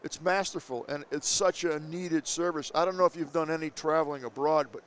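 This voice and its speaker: A man hosting a live interview show on a busy trade show floor, enthusiastic and quick to cut in with praise. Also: on the high side, fairly even intonation, a little hiss or hum. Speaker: show host. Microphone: headset.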